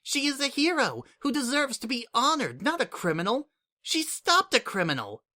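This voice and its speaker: A man voicing a small, eager young female changeling child in an audiobook-style reading, with a high, insistent manner. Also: normal speed, exaggerated voice. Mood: indignant. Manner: excited, high-pitched and insistent.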